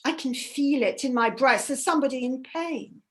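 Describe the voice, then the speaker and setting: A woman's voice: high-pitched, normal speed, close to an everyday voice. A woman storyteller voicing a proud, determined young goddess who speaks in an imperious, urgent way. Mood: commanding.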